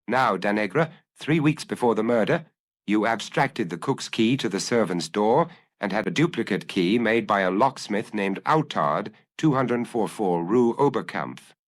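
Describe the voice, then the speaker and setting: A man acts out a hard-nosed ex-inspector in a detective audiobook. He speaks dryly and precisely, with animated pitch swings and a firm, commanding edge as he presses his mark. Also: normal speed. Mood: firm, pressing. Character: dry, hard, commanding male ex-inspector blackmailer. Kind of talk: audiobook character acting.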